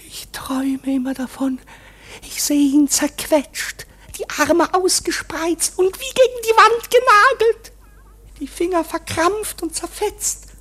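A woman's voice: extremely high pitch, slow pace, fairly bright tone, heavily acted. An actress in a German radio play playing an embittered, scornful female court underling, with dramatic delivery that often drops to a whisper. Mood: feverish, vengeful.

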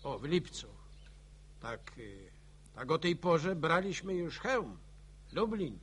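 Steady, higher-voiced Polish war veteran. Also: male voice, slow, slight background noise, heavily acted. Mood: reminiscing. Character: plain-spoken village war veteran, steady and consoling. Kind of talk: radio drama acting.

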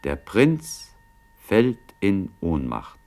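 A man narrating stage directions for a radio drama in a solemn, deliberate, scripted manner. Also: low-pitched, huge pitch swings, darkish tone.

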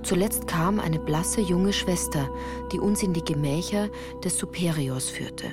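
Low-voiced woman narrating over music. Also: measured pace. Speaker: first-person narrator. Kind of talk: scripted literary narration for radio play.

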